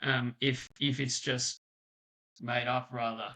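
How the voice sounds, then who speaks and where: Guy w bright clear-cut voice, medium pitch, chatting casually, kinda tentative and agreeable. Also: level tone, slow. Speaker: political podcast speaker. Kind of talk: casual conversation.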